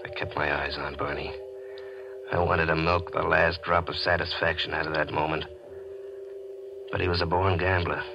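Bitter american gambler in a 40s radio thriller, deep voice, tense dramatic delivery, dull old audio. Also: male voice, level tone, creaky. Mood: gloating suspense. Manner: tense, dramatic, deliberate storytelling. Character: Lester, bitter small-time gambler narrating his own downfall. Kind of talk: 1940s radio drama acting, first-person suspense narration. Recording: muffled old radio recording. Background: dramatic music.

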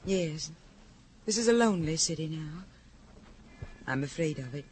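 An actress playing a lonely widow in an old radio drama, speaking with wounded, wistful sorrow. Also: female voice, low voice, expressive, moderate pace, a little hiss or hum. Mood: sorrowful. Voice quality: wistful, wounded, then sharp. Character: grieving, bitter woman in love with a dead writer. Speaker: widow visiting a detective. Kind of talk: 1950s radio drama acting.

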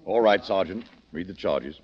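On a muffled vintage radio recording, a colonel. His low voice moves around a lot, commanding and gruff yet indulgent underneath. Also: expressive, moderate pace. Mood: stern. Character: gruff but indulgent British army colonel. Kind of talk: 1940s radio drama acting. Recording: dull old radio broadcast.

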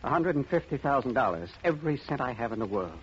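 A man's voice: mid-range voice, very animated, fast talker, close to an everyday voice. An actor playing a frightened man urgently begging for help in a 1950s radio drama. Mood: terrified, desperate. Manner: urgent, pleading, breathless phrasing. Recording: muffled, noisy old radio recording.

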